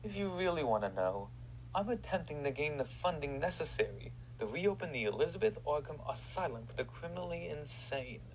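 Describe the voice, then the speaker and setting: An actor in an audio drama playing a condescending prison psychiatrist with a clinical, sardonic manner. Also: male voice, on the high side, big pitch swings, fairly quick, a little hiss or hum, low-quality recording.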